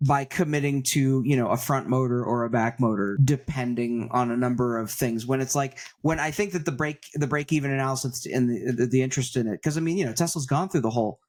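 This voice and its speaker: A man with a mid-pitched voice co-hosts a casual panel discussion. He rambles through his points and states his opinions as he goes. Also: brisk, few pauses, a little mellow. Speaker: podcast co-host.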